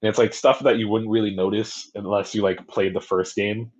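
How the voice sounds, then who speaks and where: A young man with a deep voice, co-hosting a casual podcast chat, rambling and self-mocking with lots of swearing. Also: level tone, fairly quick, a little mellow, slightly rough, dull audio. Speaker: podcast co-host.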